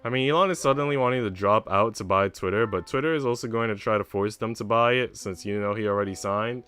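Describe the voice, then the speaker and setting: A man's voice: fairly low pitch, fast, a bit bright, clean tone. A man streaming in a casual chat style, talking in a slangy, informal way. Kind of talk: casual stream chat and read-aloud of a visual novel.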